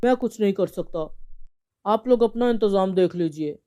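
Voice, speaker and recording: Firm, resigned hotel boss, a man acting, mellow voice. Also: high voice, normal speed, smooth, close to an everyday voice. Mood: grave, resigned. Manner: formal, matter-of-fact. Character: male hotel boss breaking bad news, firm and resigned.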